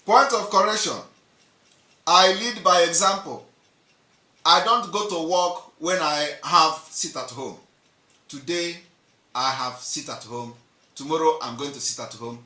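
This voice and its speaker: A man's voice: on the high side, a little slow, long pauses, sharp tone, recorded from a distance. A man with a Nigerian accent giving an impassioned, repetitive political monologue, mocking and emphatic. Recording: roomy echo, off-mic.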